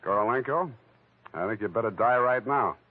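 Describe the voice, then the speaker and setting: A man playing a wisecracking, cynical private detective, deadpan and terse, in a 1940s radio drama. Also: medium pitch, moderate pace, lightly acted. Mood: dry. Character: wisecracking, hard-boiled male private detective. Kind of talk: 1940s radio acting and narration. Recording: tinny old radio recording with music.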